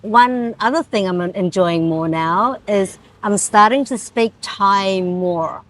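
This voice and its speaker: A woman's voice: mid-range voice, slow. Woman fitness coach, eager and agreeable, casual interview in a small studio. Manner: warm, eager, agreeable, with many restarts and frequent yeahs.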